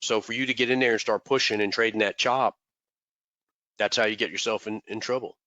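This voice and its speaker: A man's voice: lowish voice, steady tone, brisk, bright, forceful tone, no echo, dull audio. A man teaching trading in a loose live session, congested and rambling, often repeating words. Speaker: trading mentor. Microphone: desk microphone.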